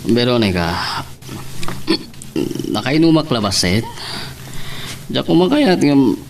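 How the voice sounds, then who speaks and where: A man's voice: medium pitch, sing-song, slow, slight background noise. A man playing a mild, earnest young suitor in an Ilocano radio drama, with an Ilocano accent. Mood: earnest, devoted. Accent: Ilocano, northern Philippines.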